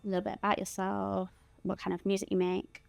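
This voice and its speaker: Gravelly-voiced woman hosting an interview. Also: medium pitch, monotone, a little fast, no echo. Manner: encouraging, many short backchannels. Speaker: host interviewer. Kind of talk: casual interview.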